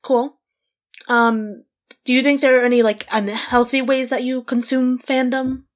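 A woman hosting a podcast, asking easy questions and agreeing in a relaxed, casual way. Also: sits high, unhurried. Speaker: podcast host. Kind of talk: casual interview chat.

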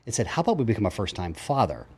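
A man in his fifties talks quickly as a guest on a chat show, his voice sitting low. He tells stories with energy and acts out what others said. The style is relaxed, conversational interview talk. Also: husky. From grew up in Japan and Germany. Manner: animated storyteller, acts out quotes. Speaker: guest, psychologist.

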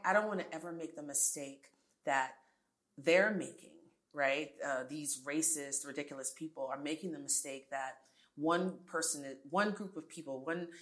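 Low-voiced woman in her forties. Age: 40s. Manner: earnest and emphatic, with frequent restarts and asides. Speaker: guest, tech entrepreneur. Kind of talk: conversational interview.

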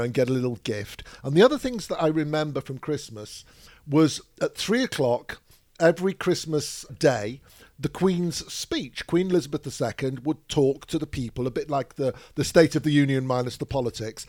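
Middle-aged Manchester man, Northern English accent, dry grumpy wit, slightly high voice, close dry sound. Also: darkish tone, no room sound. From England. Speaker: podcast co-host. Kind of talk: casual chat.

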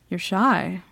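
Woman voicing a bossy female CEO, teasing and confident, slow unhurried talk, a little vocal fry. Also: on the low side, a bit bright, no room sound, full character voice. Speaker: ASMR roleplay performer. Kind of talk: flirty ASMR roleplay.

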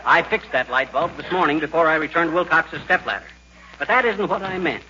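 A bumbling, boastful American husband from a 1940s radio comedy. His voice is very bright and fairly high, and he tells his tales in folksy, ungrammatical bluster. The sound is a dull old broadcast recording. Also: expressive, fairly quick, slight background noise. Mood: nostalgic, boastful.

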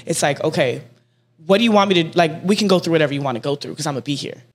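A woman's voice: low-pitched, animated, very fast. A woman in her twenties speaking in a frank, slangy way as a panelist in a casual group chat. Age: 20s. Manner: slangy, outspoken. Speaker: panelist on a dating talk show.

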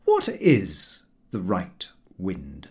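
A man voicing a pompous gentleman yachtsman from a comic storybook of 1900, formal, emphatic and exasperated. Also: low voice, huge pitch swings, extremely slow, voice pushed down, exaggerated voice.

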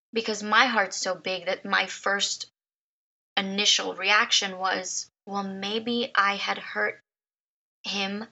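Slightly raspy, gravelly-voiced woman podcaster. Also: medium pitch, bright, forceful tone, lo-fi sound. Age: 30s. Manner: emotional, repeats words, laughs often. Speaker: podcast host. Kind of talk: personal storytelling podcast.

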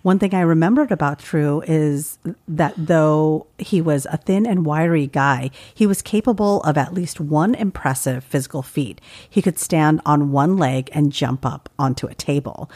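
A woman's voice: low-pitched, a little mellow. A woman co-hosting a podcast, reading listener letters aloud in casual chat and laughing often. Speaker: podcast co-host.

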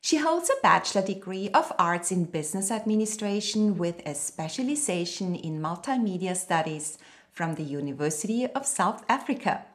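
Woman podcast host, earnest and formal, reads intros and asks questions, mid pitch. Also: a bit bright. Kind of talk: scripted intro, then interview questions.

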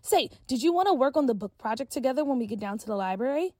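A woman's voice: sits high, lively intonation, warm tone, dry recording, clean studio sound. A woman voicing a bubbly, self-absorbed schoolgirl who talks in a chatty, breezy way. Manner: chatty, breezy, quick to brush off.

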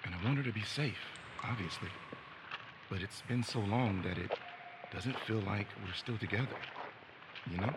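A man's voice: fairly low pitch, moderate pace, pauses often, natural voice. An actor playing a conflicted, self-deprecating young man in an audio drama, talking hesitantly and naturally. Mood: wistful, reflective. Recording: loud outdoor ambience noise.

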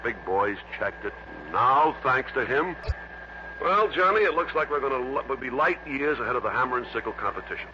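A man's voice: medium pitch, lively intonation, fairly quick, lightly acted. An actor playing a genial, chatty but slightly guarded American businessman in a 1960s radio drama, hearty and conversational. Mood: confiding. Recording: muffled, noisy vintage radio broadcast.

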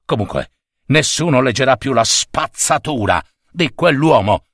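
A man's voice: mid-range voice, expressive, unhurried, a bit bright, no echo, clean studio sound. A man voicing a grumpy middle-aged newspaper foreman who growls his orders. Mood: gruff. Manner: growling, curt.

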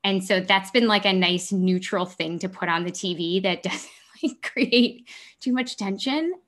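Halting, thoughtful woman in her thirties. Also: mid-range voice, fairly bright tone. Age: 30s. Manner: thoughtful, halting, frequent fillers. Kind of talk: casual chat.

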